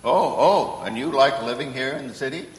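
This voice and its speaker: A man playing a kindly, jolly old Santa Claus figure in an old-time radio play, gentle and avuncular. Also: medium pitch, normal speed, natural voice. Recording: echoey hall, faint noise.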